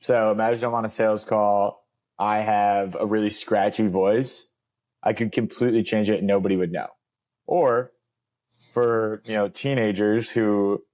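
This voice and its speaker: Upbeat young man with a lowish voice. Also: a little mellow. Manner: loose and upbeat, leans on "like". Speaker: podcast co-host. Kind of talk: casual chat.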